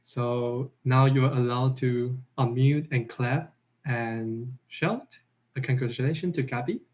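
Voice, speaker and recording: A man's voice: mid-range voice, fairly even intonation, takes it slowly, darkish tone. A man as federation president giving a formal closing speech over a video call, earnest, with small asides. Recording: slightly dull online call audio.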